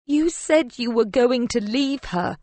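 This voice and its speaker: A woman's voice: on the high side, unhurried, darkish tone, no echo, voice pushed up, lightly acted. A woman voicing a guilty, panicked female lover in an audiobook, pleading in a whining, faltering, stuttering way.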